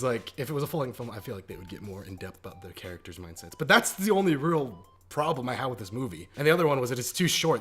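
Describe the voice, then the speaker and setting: A man's voice: mid-range voice, a bit bright, slightly rough. A man giving a casual, opinionated video review in an informal, emphatic, jokey and sweary way. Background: background music.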